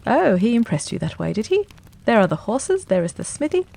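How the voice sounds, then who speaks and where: A woman reader plays a sharp-witted gentleman detective, cool and sure of himself. He speaks quickly and emphatically, with a lively, swooping pitch and a husky, gravelly edge. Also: exaggerated voice. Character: brisk, keen-minded male detective, cool and confident. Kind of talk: audiobook character reading.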